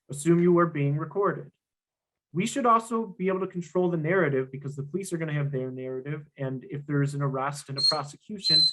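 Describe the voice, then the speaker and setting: A young man giving an instructional workshop presentation, earnest and emphatic, with frequent ums and restarts, his voice slightly hoarse. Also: on the high side, a bit bright, poor audio.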